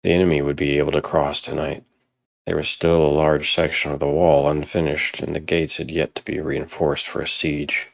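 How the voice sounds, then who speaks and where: Very deep, gravelly male storyteller. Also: flat delivery, dry recording. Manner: solemn, measured storytelling. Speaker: narrator. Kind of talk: scripted dramatic story narration.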